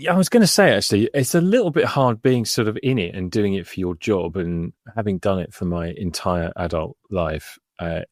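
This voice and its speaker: A man's voice: deep voice, lively intonation, normal speed, hardly any pauses, no echo, clean studio sound. A middle-aged man who is an Egyptologist co-hosting a podcast, chatting in a rambling way with frequent restarts and easy laughter. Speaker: Egyptologist and co-host. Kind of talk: casual podcast chat.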